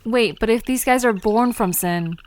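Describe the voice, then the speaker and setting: A woman playing a curious, outspoken young woman in an audio drama, informal and questioning, sometimes halting mid-sentence. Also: a little high, close to an everyday voice. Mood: curious, amused. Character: Alina, curious, outspoken young woman learning about mythical creatures.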